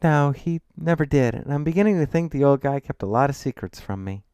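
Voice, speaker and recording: A man's voice: a little high, lively intonation, fairly quick, warm tone, clear, resonant tone, dry recording, voice pushed up, lightly acted. A man voicing a polite, curious young woman who keeps a shop, in a story. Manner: polite, inquisitive.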